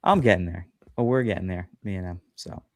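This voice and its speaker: A man's voice: sits low, brisk, no room sound, clean studio sound. A man chatting as a guest on a livestream podcast, talking loosely with lots of fillers and self-deprecating asides. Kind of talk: casual chat on a livestream.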